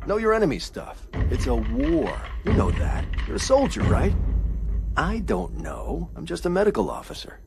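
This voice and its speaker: A man's voice: a little high, huge pitch swings, normal speed, gravelly, background noise, heavily acted. A man playing an anxious, evasive military medical officer in a sci-fi motion comic, hesitant and uneasy. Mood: evasive, confused. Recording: dramatic music behind the voice.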